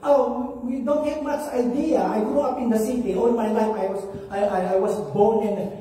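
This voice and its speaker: A woman's voice: fairly high pitch, fairly even intonation, moderate pace, mellow. A woman from the Philippines with a Filipino accent, preaching a conversational sermon as a pastor, checking in with the audience and often restarting her sentences. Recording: echoey hall from far off.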